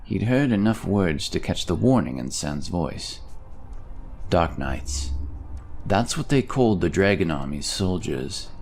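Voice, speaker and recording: A man narrating a scripted fantasy audio story in a measured, dramatic way. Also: low-pitched, big pitch swings, creaky, a little mellow. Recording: music behind the voice. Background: music.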